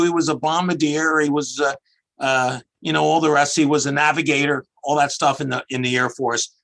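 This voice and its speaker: Older Philadelphia-accent man, 60s, husky and gravelly, chatty storyteller, video call sound. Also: fairly high pitch, fairly bright tone, rough recording. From Philadelphia area. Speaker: guest, tax accountant. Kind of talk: casual interview over video call.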